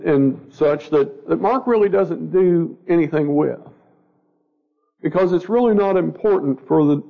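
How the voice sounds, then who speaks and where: A man teaching an informal Bible study class, a little scratchy and stuffed up, talking plainly and often repeating words as he questions the group. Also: a little high. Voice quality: gravelly. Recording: dull lo-fi recording.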